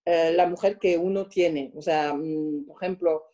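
A woman from France with a French accent, giving reflective interview answers and often pausing to search for the right words. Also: deep voice, steady tone, dark tone. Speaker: interviewed guest.

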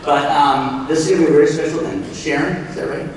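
A man's voice: a little high, steady tone, fast, distant mic, slight background noise. A middle-aged man hosting a live panel informally on a large convention stage. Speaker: panel moderator. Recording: echoey hall from afar with crowd.

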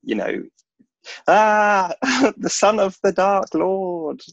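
Nervous british wizard schoolboy, slow stammery chatter, stage play reading, bit muffled on a video call. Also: male voice, high voice, creaky, no room sound, full character voice. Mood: awkward, self-deprecating. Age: young adult. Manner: hesitant, stammering, nervous laughs. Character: nervous, chatty, eager schoolboy wizard, awkwardly self-deprecating. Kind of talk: theatrical table read. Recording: dull video-call audio.

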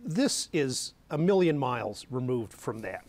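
A man's voice: mid-range voice, sing-song, a little slow, a little mellow. A middle-aged man arguing bluntly and scornfully as a panelist on a televised political debate, recorded on a lapel mic in a studio. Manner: blunt and scornful, mocking opponents. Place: dark television studio set at a desk.

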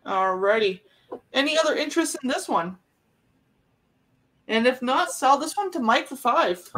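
A woman's voice: sits high, expressive, normal speed, fairly bright tone. A woman in her thirties hosting a live online clothing auction from a small home bedroom, talking through each item in a rambling, chatty way with fillers, recorded on a webcam at her desk.

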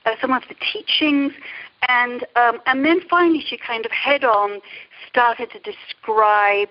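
A woman's voice: on the high side, a little slow. A middle-aged woman answering interview questions conversationally, in a thoughtful, hesitant way. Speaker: interview guest, creativity coach. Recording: dull, lo-fi radio-interview sound.

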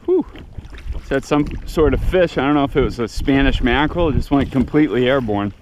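Male fishing vlogger, 30s, mid pitch, relaxed hushed commentary, outdoor action-cam sound. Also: brisk, slight background noise. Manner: quiet, low-key running commentary, bursts of excitement. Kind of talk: casual first-person narration while fishing. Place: kayak out on open sea. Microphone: action camera mounted on the kayak.

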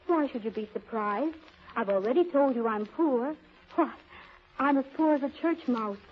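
A woman's voice: sits high, expressive, moderate pace. An actress playing a poor, plucky young woman pleading for work in an eager, theatrical 1930s radio style. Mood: earnest, plaintive. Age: young adult. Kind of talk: 1930s radio drama acting. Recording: tinny, band-limited old radio recording.

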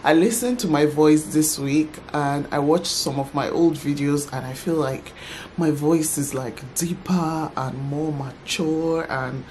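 Low-voiced woman vlogger. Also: a little slow, slight background noise. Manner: chatty, laughs often, soft-spoken. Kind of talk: casual talk to camera. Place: home office by a computer desk.

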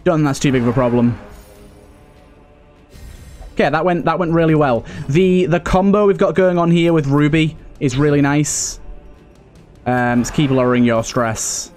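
A man's voice: on the high side, moderate pace, long pauses, darkish tone, soft background music. A man giving casual let's play commentary, thinking aloud and repeating phrases for emphasis. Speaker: gaming video host.

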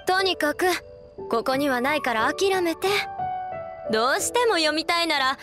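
A woman playing a brisk young female bookseller in an anime video game, casual and matter-of-fact. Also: high-pitched, animated. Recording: background game music.

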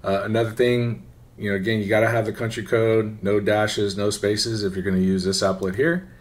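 A man giving an informal, conversational software tutorial, often checking in with a quick "right?". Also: lowish voice, little pitch movement. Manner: conversational, instructional, often tagging phrases with "right?". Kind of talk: informal screen-share walkthrough. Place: voice-over for a screen recording.

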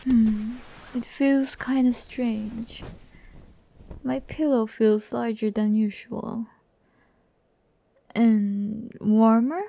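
ASMR roleplay: vain, condescending young female demon, played by a woman, gravelly fry, close dry mic. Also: fairly high pitch, flat delivery, mellow, dry recording, full character voice. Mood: self-admiring, sleepy. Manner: slow, condescending, teasing, often dropping to a whisper. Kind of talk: breathy ASMR audio roleplay. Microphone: close microphone, dry sound.

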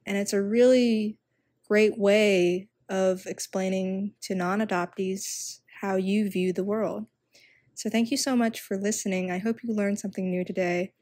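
A woman's voice: mid-range voice, fairly even intonation, measured pace, a little mellow, dry recording. Young woman talking earnestly, lots of ums, dry close sound. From China. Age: young adult. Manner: earnest, conversational, with fillers. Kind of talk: casual explanation.

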